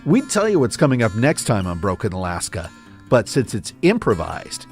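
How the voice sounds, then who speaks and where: Lively male radio announcer over guitar music. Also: on the low side, moderate pace. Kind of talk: scripted radio credits announcement. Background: plucked guitar music.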